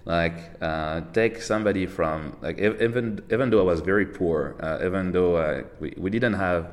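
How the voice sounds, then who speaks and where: A man's voice: low voice, normal speed. A man in his thirties from Paris with a French accent, chatting casually as a podcast guest, rambling with many fillers and restarts. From France, Paris. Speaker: podcast guest, tech entrepreneur. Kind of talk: casual interview chat.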